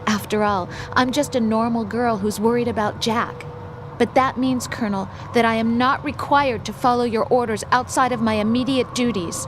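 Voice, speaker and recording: A woman playing an earnest young female analyst, highish voice. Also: fairly even intonation, normal speed, background noise, lightly acted. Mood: firm, defiant. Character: young female intelligence analyst, protective, worried, headstrong girlfriend. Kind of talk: video game voice acting.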